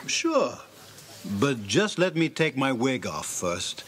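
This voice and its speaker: Genial circus acrobat. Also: male voice, mid-range voice, very animated, slow pace, a bit bright. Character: friendly bald male circus acrobat. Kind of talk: audio drama acting.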